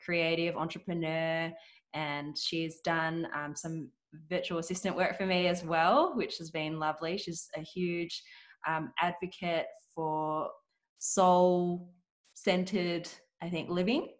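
A woman's voice: fairly low pitch, steady tone, slow. A woman hosting a podcast interview, chatting casually with many fillers and little laughs. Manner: warm-hearted, chatty, with frequent fillers and laughs. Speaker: podcast host.

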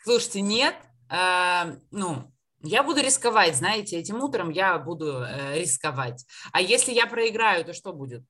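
A woman's voice: fairly low pitch, animated, normal speed, a bit bright. Playful, laughing young woman guest. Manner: laughs often, playful and self-mocking. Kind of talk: casual radio chat.

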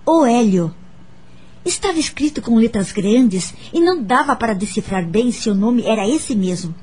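Husky, higher-voiced wounded daughter. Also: female voice, darkish tone. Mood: earnest, uneasy. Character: adult daughter recounting painful family past, gentle, wounded, forgiving. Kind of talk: dramatised first-person narration, radio drama. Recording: background music under the voice.

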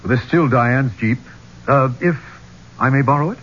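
A man's voice: medium pitch, normal speed, a bit bright, natural voice. Man acting a brash, insistent younger man, muffled. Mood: eager. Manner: blunt, urgent, persuasive. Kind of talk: 1970s radio drama acting. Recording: muffled, noisy old radio recording.

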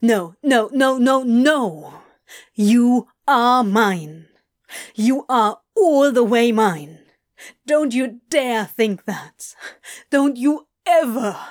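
A woman playing a fierce, protective parent, slow and emphatic. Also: a little high, lively intonation, a little mellow. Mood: fierce, insistent. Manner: emphatic, sweary, repeats words. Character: fierce, protective parent, gruff but tender, emotional.

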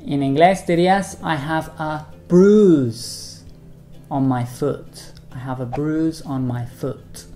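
A woman teaching English in a bilingual lesson, speaking model phrases slowly and deliberately. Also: deep voice, dark tone, faint music behind.

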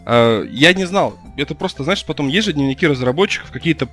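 A man co-hosting a casual podcast, telling stories in a fast, animated way. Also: a little high, soft background music. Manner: fast, animated, rising into excited retelling. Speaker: podcast co-host. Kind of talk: casual storytelling chat.

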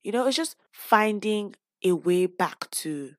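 A woman hosting her own podcast with a hoarse, worn voice, talking casually and openly with playful asides. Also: medium pitch, animated, slow, no room sound, clean studio sound. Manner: chatty and open, with playful asides. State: lost voice, hoarse. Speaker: podcast host; teacher. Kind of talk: casual personal podcast talk.

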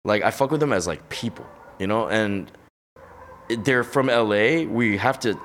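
A man's voice: fairly low pitch, expressive, moderate pace, fairly bright tone, a little hiss or hum. A young man from Los Angeles, a podcast guest in casual interview chat, who talks in a slangy, profane, rambling way with lots of fillers. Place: hot room on a high floor.

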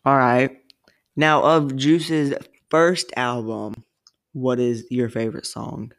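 A young podcast host works through a list of questions, hesitating often and filling the gaps with ums. The delivery is slow, and the voice sits a little back from the mic. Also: medium pitch, flat delivery, creaky. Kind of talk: casual podcast interview.

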